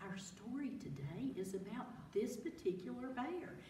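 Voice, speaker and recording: A woman's voice: on the high side, sing-song, normal speed, mellow. A woman hosting a read-aloud storytime for young children, asking questions and repeating words for them. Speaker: library storytime host. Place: echoing room with children present. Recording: roomy, distant mic with background noise.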